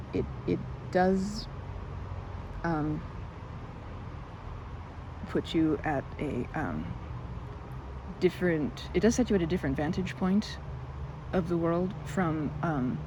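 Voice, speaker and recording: A woman's voice: lowish voice, animated, slow pace, long pauses, noisy background. A woman playing a timid, nervous young woman in an ASMR roleplay, stammering, repeating words and rambling in quirky, formal phrasing. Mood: apologetic, shy. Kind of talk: ASMR roleplay, one-sided audio drama.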